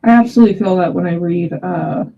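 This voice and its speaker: A woman hosting a webinar, putting questions to a guest with hesitant, halting phrasing over a dull video call microphone. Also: on the low side, husky. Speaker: host, English teacher. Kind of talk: webinar interviewing, reading out audience questions.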